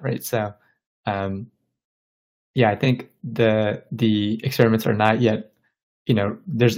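A man's voice: fairly low pitch, creaky, a little mellow. A man from Bangladesh speaking as an interview guest, explaining things casually and thoughtfully, often searching for his words. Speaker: tech company founder interviewed as podcast guest.

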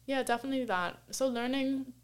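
A woman's voice: sits high, fairly quick, smooth. A woman speaking as a podcast guest in casual conversation, with an earnest, informal way of making her points.

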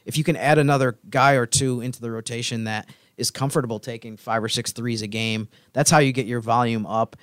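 A man's voice: medium pitch, steady tone, a little fast, hardly any pauses, fairly bright tone, no room sound, studio quality. A man on a podcast panel giving conversational sports analysis in an explanatory, reasoned manner.

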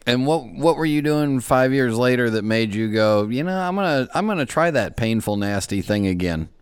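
A man's voice: medium pitch, lively intonation, moderate pace, clean tone, no echo. A man hosting a podcast interview in casual chat, joking and chuckling at his own quips. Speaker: podcast host.

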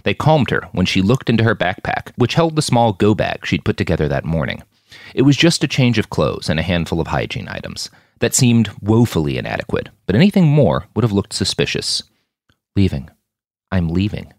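Male narrator, deep pitch, animated range, dry close sound, scripted storytelling. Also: fairly quick, creaky, no room sound. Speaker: narrator of a fiction podcast.

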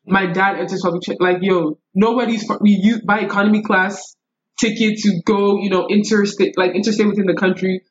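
Animated young woman, slightly dull audio. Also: mid-range voice, brisk, a little hiss or hum. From United States. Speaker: podcast guest. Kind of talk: casual conversational storytelling.

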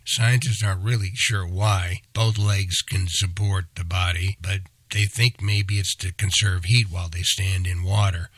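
A man with a scratchy, hoarse voice hosting an educational podcast, explaining animal facts slowly and clearly. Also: deep voice, a bit bright, no echo. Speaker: podcast host. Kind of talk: educational podcast talk for kids.

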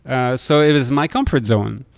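A middle-aged man from France with a French accent, telling stories in an interview in a halting way, often hesitating and repeating words. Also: medium pitch, gravelly. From Lille, France. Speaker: restaurateur being interviewed. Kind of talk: conversational interview storytelling.

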